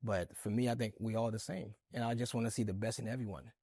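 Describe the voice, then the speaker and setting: A man's voice: lowish voice, quick pace, dry recording, studio quality. A man from Haiti, speaking as a guest in a discussion and telling his story earnestly with lots of "it's like.". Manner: earnest, animated storytelling, many "it's like" fillers. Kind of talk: conversational discussion.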